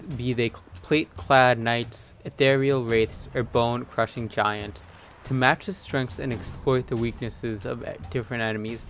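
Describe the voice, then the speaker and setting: A man hosting a gaming video with casual, low-key commentary, at times reading game text aloud. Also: fairly bright tone, slight background noise. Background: game music.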